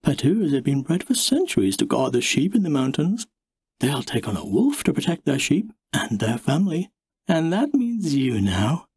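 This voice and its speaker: A man voicing a bright, obliging woman who breeds dogs, explaining things helpfully in an audiobook story. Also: on the high side, huge pitch swings, normal speed, breathy edge, dry recording, pitched above the performer's own voice, full character voice. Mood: informative. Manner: bright, informative.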